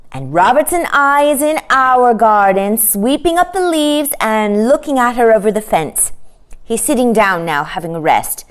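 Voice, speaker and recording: A woman voicing an excitable, chatty little boy in a children's storybook, eagerly reporting in breathless exclamations. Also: a little high, moderate pace, pitched above the performer's own voice, natural voice.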